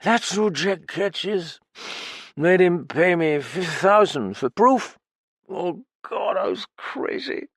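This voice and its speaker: A man's voice: high voice, expressive, slow pace, fairly bright tone, breathy edge, dry recording, clean studio sound, voice pushed up, exaggerated voice. A man voicing a drunken, terrified older male pianist who talks in slurred, clipped fragments and pleads. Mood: frantic. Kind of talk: audiobook character voice.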